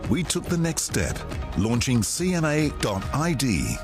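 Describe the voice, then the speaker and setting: Lively male promo voice-over. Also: medium pitch, unhurried. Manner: smooth, scripted. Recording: voice-over with music bed.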